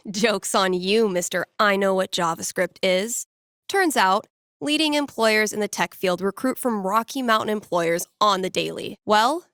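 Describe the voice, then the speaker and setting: A woman's voice: mid-range voice, no echo. Wry young female DJ for a narrative game: casual, sardonic, playful, very dry close studio sound. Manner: sardonic, deadpan asides, playful mock grandeur. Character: wry young woman radio DJ and record store clerk. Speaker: radio DJ. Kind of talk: scripted game dialogue, casual radio patter.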